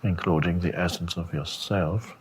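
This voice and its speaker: Male spiritual teacher for a meditation talk: somewhat deep, breathy-rough tone, reflective delivery, lingering pauses, gentle questioning. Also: fairly even intonation. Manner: contemplative, halting with long pauses, gently questioning.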